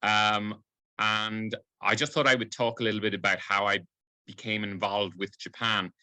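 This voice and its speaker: A man's voice: fairly low pitch, little pitch movement, normal speed, pushed and bright, no echo. A man in his late forties from Cork with a light Irish accent, giving a webinar talk with many ums and restarts. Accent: light Irish, not strongly regional. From Ireland (Cork). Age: late 40s. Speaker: embassy staff speaker. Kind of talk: webinar presentation.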